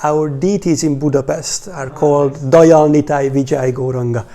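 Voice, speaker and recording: A man in his forties with a Hungarian accent, talking informally to a small religious class in a hesitant, halting way with pauses. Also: on the high side, steady tone, dark tone. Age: 40s. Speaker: religious teacher.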